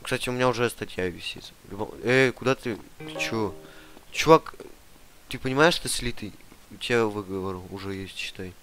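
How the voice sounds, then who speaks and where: A man's voice: fairly low pitch, moderate pace, fairly bright tone, faint music behind, low-quality recording. A young man streaming an online roleplay game, arguing in character with a pushy, demanding, mock-official manner. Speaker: gamer streamer playing a detainee in an online roleplay server. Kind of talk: in-character roleplay chat over voice chat. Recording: game audio and noise behind the voice. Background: clear noise and game car sounds.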